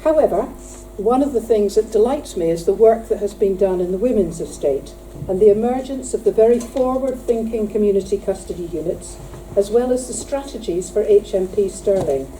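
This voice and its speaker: A middle-aged woman with a loud, projecting voice gives a formal welcome speech at a conference, earnest and emphatic, with dry humorous asides. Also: medium pitch, expressive, moderate pace, few pauses. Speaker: conference speaker opening the event. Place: conference hall. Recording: distant mic in a hall with faint hum.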